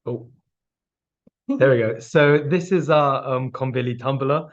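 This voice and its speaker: Young man from Wales, student panelist, relaxed, mid pitch, dull distant sound. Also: measured pace. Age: young adult.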